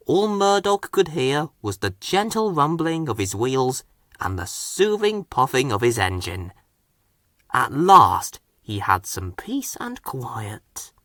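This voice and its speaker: A man reading a children's story as narrator, in a measured, expressive way. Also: medium pitch, dry recording, studio quality. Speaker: storybook reader.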